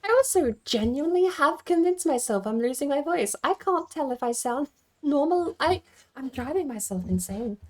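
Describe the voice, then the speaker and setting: High-voiced woman streaming a game. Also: animated, moderate pace. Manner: rambling, thinking aloud. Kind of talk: casual livestream game commentary.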